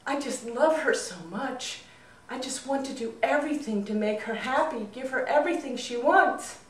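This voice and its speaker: A woman's voice: high voice, moderate pace, a little hiss or hum, voice pushed up, close to an everyday voice. A woman voicing an anxious, lovesick young married man in a folk story, earnest and pleading. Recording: roomy echo, distant mic.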